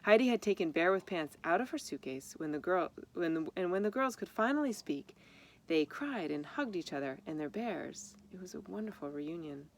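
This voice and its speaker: A woman reading a children's story aloud in an expressive manner, with informal asides about the pictures. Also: fairly low pitch, normal speed. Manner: expressive, acts out dialogue. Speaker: storybook reader. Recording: noticeable background noise.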